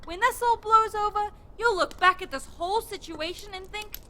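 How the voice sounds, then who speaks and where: A woman's voice: extremely high pitch, moderate pace, sharp tone. A woman playing an upbeat, bossy young survivor who coaxes and takes charge in an audio drama. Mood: coaxing.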